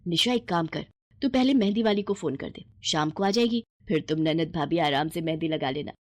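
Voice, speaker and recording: A woman voicing a caring, affectionate middle-aged mother-in-law who gently organizes her household in a storybook tale. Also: deep voice, expressive, fairly quick, voice pushed down. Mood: busy, affectionate. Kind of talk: acted storybook dialogue.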